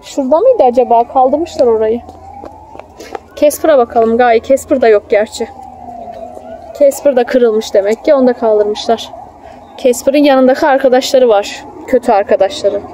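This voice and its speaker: A woman's voice: fairly high pitch, normal speed, mellow. A young woman, a mother chatting casually with her child as she films on a phone outdoors. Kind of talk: casual chat with a child while filming. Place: outdoor children's theme park. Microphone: handheld phone, behind the camera. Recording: outdoor phone recording, ambient park noise. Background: faint outdoor ambience.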